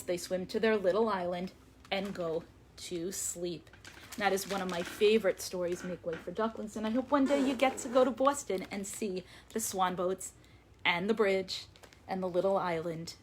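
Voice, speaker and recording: A woman reading a story aloud to young children as a librarian, expressive and emphatic, lingering on words. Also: medium pitch, a little hiss or hum.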